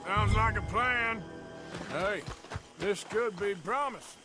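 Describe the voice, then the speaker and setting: An actor playing a seasoned, laconic gunslinger in a western video game, dry and protective, with an American accent. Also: male voice, high-pitched, slow, over music. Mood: brisk. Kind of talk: naturalistic video game acting.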